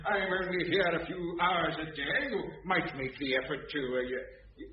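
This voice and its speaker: A man's voice: on the high side, moderate pace, far from the mic, a little hiss or hum, natural voice. A man playing a pompous, reactionary older judge in a radio sitcom, blustering indignantly and stammering as he dotes on his wife. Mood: fussy, suspicious. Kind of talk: 1980s radio sitcom acting. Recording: dull echoey radio recording with studio audience.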